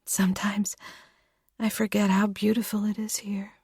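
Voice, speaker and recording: A woman voicing a grieving adult daughter with an American accent, speaking softly and wearily, often half-whispered. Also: mid-range voice, measured pace, no echo, studio quality, pitched above the performer's own voice. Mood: weary.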